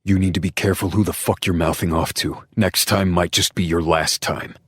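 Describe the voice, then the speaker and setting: A man voicing a rough biker friend who talks bluntly and crudely. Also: low-pitched, steady tone, brisk, fairly bright tone, no room sound. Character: rough biker buddy, grinning and menacing.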